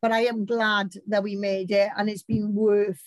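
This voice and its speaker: A British woman with a loud voice, a campaign organiser telling stories in casual chat. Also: medium pitch, level tone, normal speed, no room sound, studio quality. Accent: British English. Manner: loud, chatty storytelling.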